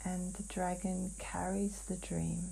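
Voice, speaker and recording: A woman channeling in a hypnotic session, answering in halting, fragmented phrases with long pauses. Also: lowish voice, little pitch movement, creaky, warm tone, slight background noise, rough recording. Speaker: client in a hypnotic healing session. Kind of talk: slow trance channeling, answering questions.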